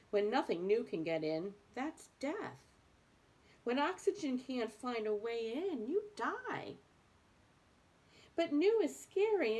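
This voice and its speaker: Woman reading a book out loud, takes her time, bit of gravelly fry, leans on her words. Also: medium pitch. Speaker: reader of a book aloud for adults.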